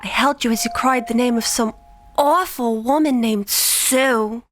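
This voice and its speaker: A woman's voice: fairly high pitch, a little slow, soft background music, natural voice. A woman playing an intimate, coaxing young lover in a science fiction audio drama. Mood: soft, coaxing.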